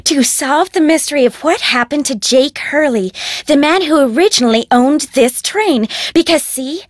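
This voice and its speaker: A woman plays a young American socialite in a video game. Her voice sits very high, with a breezy, self-important gush. She sounds spoiled and pleased to be in charge, recorded close and dry. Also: normal speed, dry recording, lightly acted. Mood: breezy, dramatic. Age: young adult. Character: gushing, spoiled rich blonde socialite.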